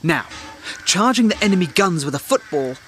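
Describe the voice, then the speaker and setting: A higher-voiced, wry English war correspondent. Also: male voice, big pitch swings, normal speed, fairly bright tone, slight background noise. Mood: earnest, probing. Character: earnest, probing British war journalist, wry and polite. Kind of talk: period audio drama acting.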